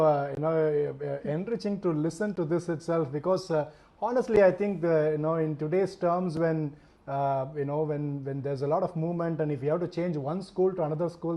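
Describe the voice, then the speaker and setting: A man's voice: fairly high pitch, fairly even intonation, brisk, dark tone. A man from India hosting an interview, talking hesitantly with many fillers and repeated words. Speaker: host. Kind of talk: interview conversation.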